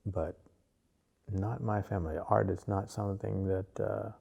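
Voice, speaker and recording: Gravelly, mellow-voiced man in his fifties. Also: lowish voice, little pitch movement, lo-fi sound. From Malaysia. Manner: unhurried, with many pauses and fillers. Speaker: artist guest. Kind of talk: relaxed interview conversation.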